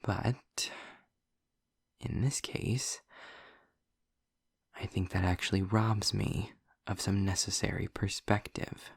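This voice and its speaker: Man acting snarky demon, audio roleplay, deep gravelly voice, dry close sound, testy, articulate, deliberate pauses. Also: fairly even intonation, no room sound, heavily acted. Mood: measured, intent. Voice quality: gravelly, husky, breathy. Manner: wry, formal wording, deliberate pauses. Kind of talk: scripted audio roleplay monologue addressed to the listener.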